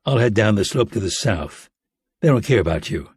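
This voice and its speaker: A man's voice: fairly low pitch, expressive, normal speed, darkish tone, breathy edge, dry recording, clean studio sound. A man playing a stoic, commanding covert operative in a thriller audiobook, speaking with terse authority. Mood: grim, resolute. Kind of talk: audiobook character dialogue.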